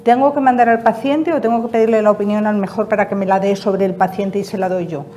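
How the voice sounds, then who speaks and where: A woman's voice: medium pitch, steady tone, fast, warm tone, slight background noise. A woman representing a patients' association, answering interview questions emphatically and repeating her key points. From Spain.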